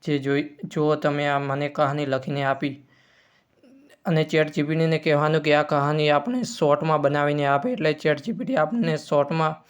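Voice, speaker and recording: A man's voice: on the high side, fairly even intonation, normal speed, smooth. A man hosting an informal step-by-step tutorial, using repetitive instructional phrasing. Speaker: tutorial host.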